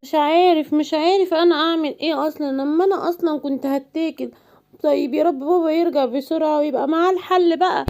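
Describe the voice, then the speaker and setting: A playful young lion cub acted by a woman chatters in a high, childlike voice. He speaks quickly and grumbles cheekily, a timid little prince in a children's cartoon story. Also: steady tone, darkish tone. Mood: worried, scolding.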